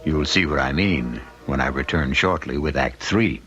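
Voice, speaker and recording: Deep-voiced radio mystery host. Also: male voice, moderate pace, a bit bright. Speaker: host and narrator. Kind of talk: scripted mystery radio narration. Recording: dull lo-fi radio, music behind.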